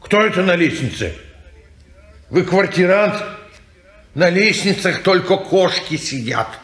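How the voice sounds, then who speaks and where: A grumbly fussy gent, high voice, slow, roomy old recording. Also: male voice, animated, fairly bright tone, exaggerated voice. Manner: peevish, grumbling.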